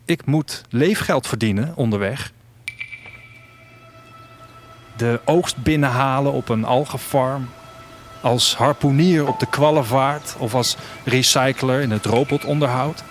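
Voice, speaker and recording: A man acting as a wistful, restless traveller tells his own story in a literary audio drama. His mid-range voice is reflective and measured. Light music plays behind him. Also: medium pitch, natural voice. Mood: restless, eager. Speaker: narrator and lead character of an audio drama. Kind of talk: first-person literary audio drama narration.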